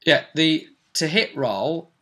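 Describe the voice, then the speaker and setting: A British man casually recounting a tabletop role-play session as game master, acting out what the players said in an animated way. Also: a little high, slow.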